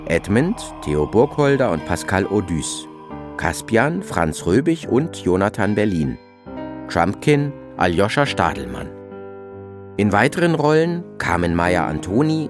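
A man reading out the title and credits of a radio play as a scripted announcement. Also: low voice.

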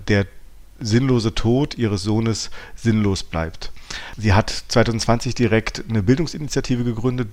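A man's voice: on the low side, a little mellow. A man hosting a podcast, talking reflectively and searching for his words as he thinks aloud. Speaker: podcast host. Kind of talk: reflective conversational discussion.

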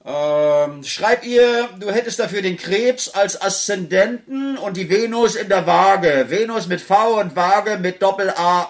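An actor playing a self-assured, glib prison charmer in a radio crime play, patiently explaining. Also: male voice, high-pitched, a little slow, hardly any pauses. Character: glib, self-assured male inmate charmer, ghostwriting love letters.

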